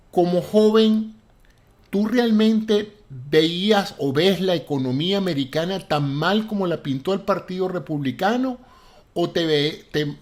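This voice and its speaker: Man hosting an interview, slightly high voice. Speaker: interview show host. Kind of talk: interview with long spoken introduction.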